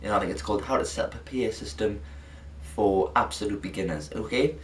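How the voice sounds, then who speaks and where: A man presenting an informal video lesson in a halting, rambling way, often correcting himself. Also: on the low side. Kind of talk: informal spoken introduction to a lesson. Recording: echoey, distant mic, faint noise.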